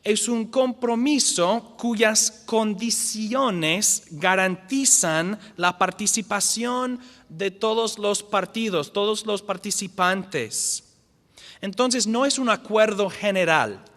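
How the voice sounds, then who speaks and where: A high-pitched man teaches a church lesson as pastor. He is a non-native speaker who restarts words and repeats his key definitions slowly. His voice rings with the long reverb of a church hall. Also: animated, fairly bright tone. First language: not the language spoken. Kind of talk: church lecture.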